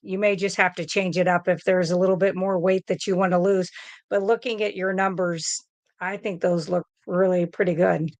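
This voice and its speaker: A woman's voice: on the low side, little pitch movement, normal speed, dry recording. Woman, panel guest, medical background, earnest, conversational, dry sound. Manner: earnest, advice-giving, loose and conversational. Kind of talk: casual panel chat.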